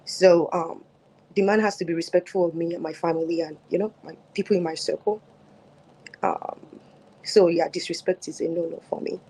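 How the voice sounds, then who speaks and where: A woman in her thirties with a Nigerian accent, speaking on a phone from home. Her voice sits a little low, soft-spoken and unhurried, broken up by fillers and restarts. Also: steady tone, breathy edge, poor audio. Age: 30s. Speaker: guest on a dating show. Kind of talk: casual interview answers. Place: home, mid-move.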